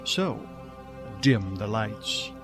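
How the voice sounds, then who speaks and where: A man's voice: fairly low pitch, very animated, drawn-out words, over music. A man hosting a radio theater show with theatrical, scripted comedic introductions. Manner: theatrical, dramatic.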